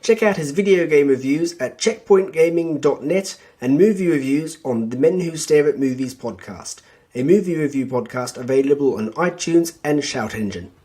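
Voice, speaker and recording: A man with a fairly high, husky voice reads a prepared promo. His tone is soft-edged and mellow. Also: expressive, moderate pace. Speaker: podcaster and reviewer. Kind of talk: scripted third-person plug.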